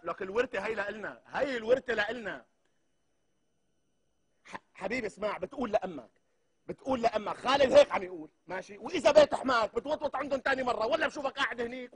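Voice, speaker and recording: A fast, high-voiced Syrian barber, acted by a man in a comedy sketch. He is chatty and hot-tempered, arguing and exclaiming in a rough, husky voice. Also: bright, forceful tone, heavily acted. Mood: angry, exasperated. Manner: argumentative, exclamatory, repeats himself. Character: hot-tempered, chatty male barber ranting into his phone.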